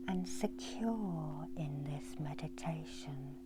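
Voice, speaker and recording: A middle-aged woman whispering a guided meditation in ASMR style, breathy and hushed, with long soothing pauses. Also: low-pitched, heavy background noise. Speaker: meditation guide and Reiki healer. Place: small indoor room.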